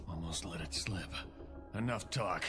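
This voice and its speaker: An American actor playing a taunting, ruthless ex-soldier villain in a video game, speaking deliberately, a man's voice. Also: deep voice. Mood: smug, menacing. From United States. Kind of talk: video game cutscene acting. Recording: loud music under the voice.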